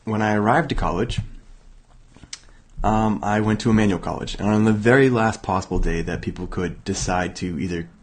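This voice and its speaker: A young man from Massachusetts hosting a motivational self-help show, hoarse and raspy from illness, talking casually with many fillers and restarts. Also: fairly low pitch, normal speed. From Massachusetts, USA. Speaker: podcast host.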